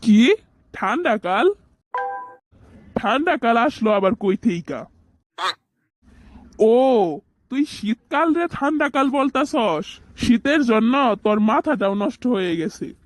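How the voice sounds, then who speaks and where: A man's voice: very high voice, animated, takes it slowly, pauses often, no room sound, clean studio sound, heavily acted. A man voicing a teasing, contrary older brother in a comic cartoon, mocking and argumentative, with a Bangladeshi accent.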